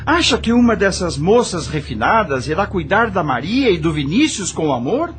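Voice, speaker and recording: A man's voice: high-pitched, big pitch swings, normal speed, soft background music. An actor playing an earnest, resolute widowed father in an audio drama, speaking formally. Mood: indignant.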